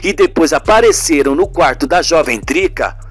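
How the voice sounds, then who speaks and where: A man's voice: fairly high pitch, lively intonation, moderate pace. Guy doing a puzzled husband in a radio soap, colloquial, asking questions, music underneath. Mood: questioning. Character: puzzled, reasonable husband chatting in bed.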